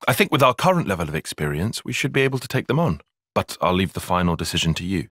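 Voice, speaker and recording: Young British male game tactician: articulate, cautious, easily exasperated, expressive range, dry close recording. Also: low voice, no room sound, exaggerated voice. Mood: cautious, analytical. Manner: measured, formal, articulate, dry. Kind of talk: video game cutscene voice acting.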